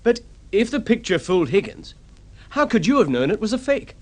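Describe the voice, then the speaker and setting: A naive young man learning the family trade in a golden-age radio play. His voice is high and lively, with an earnest, protesting manner as he questions his elder. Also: brisk. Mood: puzzled, insistent. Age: young adult. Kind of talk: 1950s radio drama acting.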